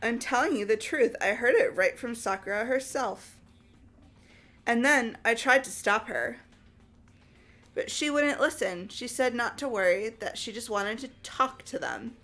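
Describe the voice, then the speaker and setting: A woman's voice: on the high side, animated, a little slow, a bit bright, faint music behind. A woman voicing an insistent, grieving teenage girl in a video game, speaking earnestly and emphatically. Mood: upset, regretful. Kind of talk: amateur video game voice acting.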